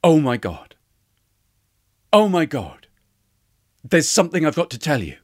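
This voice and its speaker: A man voicing a melancholic lady in a told story, swept up in revelation, gushing and halting as she speaks. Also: fairly high pitch, steady tone, a little mellow, no echo, clean studio sound, lightly acted. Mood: urgent, overwhelmed. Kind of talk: storytelling character voice.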